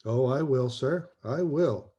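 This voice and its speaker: An actor playing an earnest, apologetic, bumbling elderly guardian angel in an old-time radio play. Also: male voice, mid-range voice, slow, mellow, dull audio, soft background music, natural voice. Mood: meek, apologetic.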